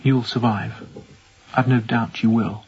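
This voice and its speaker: An actor playing an anxious young ship's captain in a radio drama, speaking in a hushed, urgent, secretive manner. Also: male voice, mid-range voice, fairly even intonation, a little slow, lightly acted. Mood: quietly earnest. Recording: dull muffled audio with background noise.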